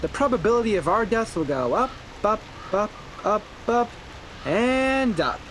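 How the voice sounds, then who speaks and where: A woman voicing a quirky, analytical young investigator in a video game, theatrical and excitable. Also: mid-range voice, unhurried, slight background noise, close to an everyday voice. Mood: curious, excitable.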